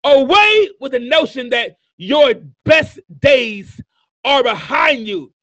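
Man, 50s, motivational preacher; high bright voice, dry close sound, emphatic and repetitive. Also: animated, slow pace, no room sound, muffled recording. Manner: emphatic, repetitive, building to shouts. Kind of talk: sermon-style motivational speaking.

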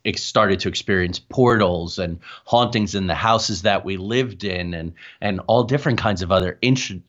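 Male guest on an interview show telling first-hand accounts, lowish voice, mildly dulled audio. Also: moderate pace, fairly bright tone, lo-fi sound. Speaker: interview guest, paranormal researcher. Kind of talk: recounting personal experiences in conversation.

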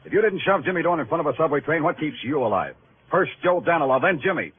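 An actor playing a wry, hard-boiled New York police detective in a vintage radio crime drama, with an American accent and clipped delivery. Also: male voice, on the high side, expressive, fast, husky. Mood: pressing, needling. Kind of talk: 1950s radio crime drama acting, first-person narration. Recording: muffled vintage radio recording with music.

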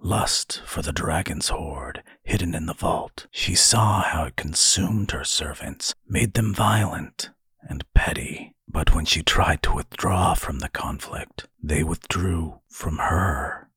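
A devout, solemn elf from a fantasy tale, performed by a male narrator. He speaks low and hushed with a very breathy husk, grave and distant, as if in prayer. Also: slow, no echo, full character voice. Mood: solemn reverence. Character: smaller elven halfkin brother, solemn, reverent, devout mystic.

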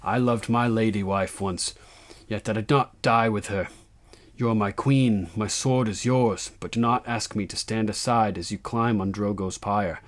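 A man's voice: fairly low pitch, fairly even intonation, unhurried, natural voice. A man playing a grave, devoted male knight in an audiobook, earnest and heartfelt. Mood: despairing, pleading. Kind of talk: audiobook character acting.

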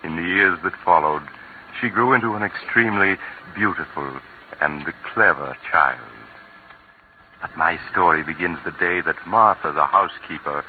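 A man narrating a tale of terror in a measured, ominous style from a 1940s radio show. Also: low voice, slight background noise. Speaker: narrator and host. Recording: tinny old radio broadcast with music.

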